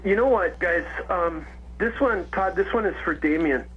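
Sixty yr old guy rambling on a call-in line, kinda husky, muffled phone sound, bit high voice. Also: moderate pace. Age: 60s. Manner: chatty, rambling, laughs often. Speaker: caller. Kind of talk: casual call-in chat.

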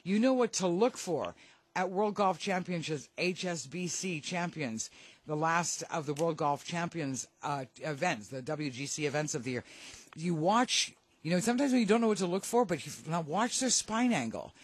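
A woman's voice: fairly low pitch, animated, moderate pace, husky. A woman hosting a radio show in a chatty, informal conversational style while getting over a cold. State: has a lingering cold. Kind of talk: chatty sports radio interviewing.

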